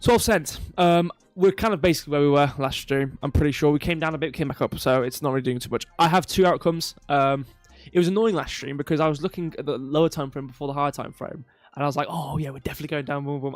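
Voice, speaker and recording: A man's voice: a little high, no echo. A male livestream host speaks casually into a close, dry microphone with faint music behind him. His voice is a little stuffy and nasal. He rambles through market commentary, drifting into self-deprecating asides. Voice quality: slightly nasal, stuffy nose.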